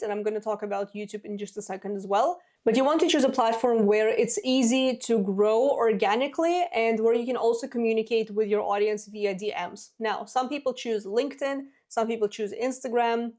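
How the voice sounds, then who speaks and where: A confident woman in her twenties whose first language is Russian, handing out business advice on her own show. Her voice sits a little high, and she talks in a direct, practical way. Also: darkish tone. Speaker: business podcast host. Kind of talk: solo business advice talk.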